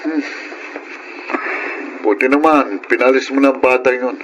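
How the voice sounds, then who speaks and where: A man's voice: medium pitch, measured pace, fairly bright tone, slight background noise, lightly acted, low-quality recording. An actor playing a callous, irritable, tipsy father in a radio drama, brusque and scornful. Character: callous, irritable drunken young master and father.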